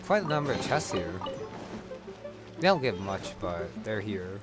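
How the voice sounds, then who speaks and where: A man giving casual game commentary, thinking aloud in a low-key way as he plays. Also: big pitch swings, unhurried. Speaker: gamer, playthrough host. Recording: voice over loud game music. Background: loud game music.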